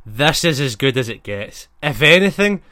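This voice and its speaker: A man's voice: medium pitch, sing-song, normal speed, pushed and bright, no room sound, studio quality. A man in his twenties chatting casually and bantering as a podcast co-host. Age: 20s.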